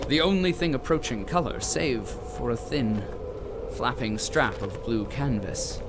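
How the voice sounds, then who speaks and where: Slow, grave male drama narrator. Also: medium pitch. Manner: measured, grave storytelling. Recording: noisy ambient sound bed behind.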